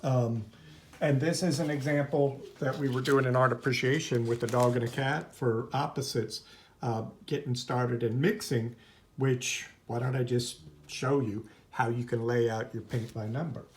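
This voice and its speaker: A man's voice: mid-range voice, fairly even intonation, normal speed. A man teaching art in an informal, chatty, rambling hands-on demonstration, easily drifting into asides. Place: at home, indoors. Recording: noisy home recording, clicks.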